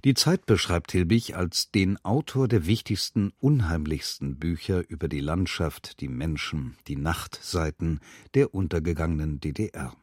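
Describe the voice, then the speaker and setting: Male announcer, deep register, very dry studio sound, scripted programme introductions. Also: moderate pace, few pauses, no room sound. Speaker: radio announcer introducing an audio drama. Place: close, dry broadcast studio.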